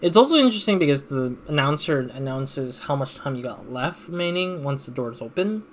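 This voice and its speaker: A man's voice: mid-range voice, lively intonation, fairly quick. Rambling male gamer with faint background noise. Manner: rambling, thinking aloud. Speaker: let's play host. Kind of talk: casual game commentary, reading narration aloud.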